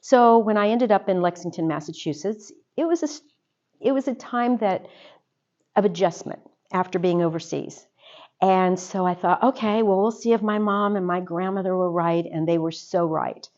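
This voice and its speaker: A woman's voice: lowish voice, moderate pace, highs cut off. A woman guest in an interview, telling her own history in a chatty way with fillers. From United States. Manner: warm, chatty storytelling with fillers. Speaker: interview guest, organization officer. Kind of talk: casual interview answers.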